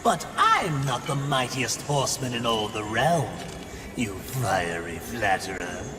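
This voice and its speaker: An actor voicing a sly, grandiose demon messenger in a video game, with florid, theatrical delivery and teasing flattery. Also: male voice, medium pitch, a little slow, in a large hall, background noise. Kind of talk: video game character acting.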